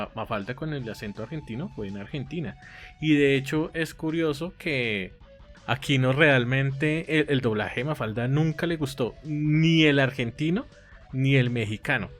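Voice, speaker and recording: A man on a podcast, chatting casually about comics and often pausing with fillers. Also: medium pitch. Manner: conversational, hesitant with many fillers. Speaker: podcast co-host.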